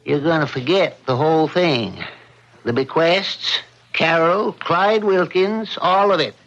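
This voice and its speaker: Slow-talking, skeptical radio husband. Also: male voice, a little high, a bit bright. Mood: firm, dismissive. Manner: measured, patronizing. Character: housewife's skeptical, dismissive husband, soothing but firm. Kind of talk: old-time radio drama acting. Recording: dull old radio drama recording.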